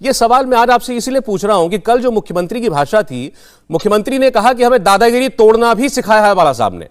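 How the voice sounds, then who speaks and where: A man's voice: high-pitched, sing-song, quick pace. A man hosting a live TV news debate, putting pointed questions and steering the speakers. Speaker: TV debate host.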